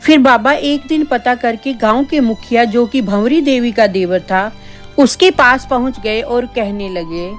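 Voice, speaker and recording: A woman reads a scripted children's tale as narrator. Her voice sits fairly high, and music plays underneath the storytelling. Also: measured pace. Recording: background music.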